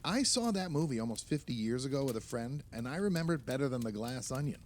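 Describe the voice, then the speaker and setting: A man plays a nostalgic, opinionated film writer in an audio play. His pitch moves in lively swings as he holds forth emphatically to friends, pausing to find words. There is faint noise behind him. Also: lightly acted. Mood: exasperated, nostalgic. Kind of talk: naturalistic audio play dialogue.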